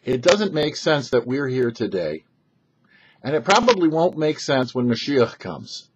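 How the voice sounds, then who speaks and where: A man, a rabbi hosting a short religious commentary, telling and explaining scripture with emphatic, halting phrasing and pauses. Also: mid-range voice, very animated, noisy background. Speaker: rabbi and host of a weekly Torah talk show. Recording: dull, lo-fi sound.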